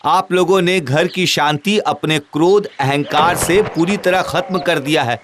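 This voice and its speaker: Man acting an earnest high-voiced young village hero. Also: measured pace, background noise, lightly acted. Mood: solemn advice. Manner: earnest, preachy, pleading. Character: kind, righteous, devout young village man. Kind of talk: Hindi animated moral story dubbing. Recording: loud background music.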